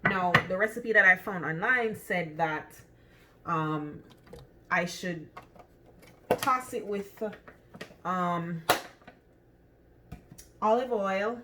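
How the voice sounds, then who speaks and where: A woman's voice: lowish voice, drawn-out words, pauses often, very noisy. A woman with a Jamaican accent chatting casually through a cooking demonstration in her home kitchen, thinking aloud with lots of ums and restarts. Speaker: cooking vlogger.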